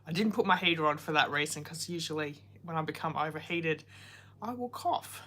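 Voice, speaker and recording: A woman's voice: low-pitched, a little fast, pushed and bright. A woman, hosting a casual card-making livestream, chatty and greeting viewers by name while coming down with a cold and a sore throat.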